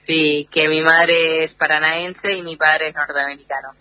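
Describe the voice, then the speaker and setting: A woman's voice: low voice, little pitch movement, normal speed, bright, forceful tone. A woman born in the United States, an athlete guest speaking by phone on a radio show and explaining her sport in a friendly way. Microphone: phone call over messaging app. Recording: phone line that cuts out.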